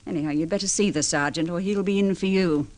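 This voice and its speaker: An actress playing a brisk, protective hospital nurse in an old radio drama, stern and sharp-tongued. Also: female voice, fairly low pitch, lightly acted. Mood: scolding.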